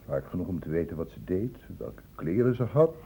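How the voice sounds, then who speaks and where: A man playing a shrewd senior doctor in a Dutch radio play, brisk and probing, with a condescendingly paternal authority. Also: sits low, big pitch swings, warm tone. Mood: probing, fatherly. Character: shrewd senior male doctor questioning a student, brisk, fatherly. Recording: thin phone-like old radio recording, hissy.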